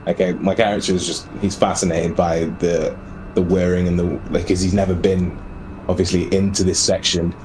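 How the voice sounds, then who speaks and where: A man with a deep voice talks casually as a player at a tabletop game. Faint noise is audible behind him. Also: little pitch movement, normal speed, darkish tone, poor audio.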